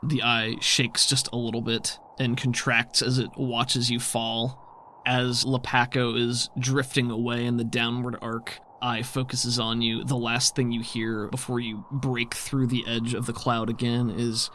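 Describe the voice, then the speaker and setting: A man's voice: medium pitch, flat delivery, unhurried, sharp tone, a little hiss or hum. A man running a tabletop game, narrating scenes in descriptive, dramatic storytelling as game master.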